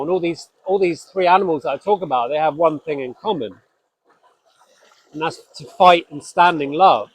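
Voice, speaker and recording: Scottish-born male host, no accent, earnest and halting, fairly high pitch. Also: slightly rough, low-quality recording. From Scotland. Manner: earnest, halting, with frequent restarts. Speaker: spiritual podcast host. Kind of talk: reflective solo talk.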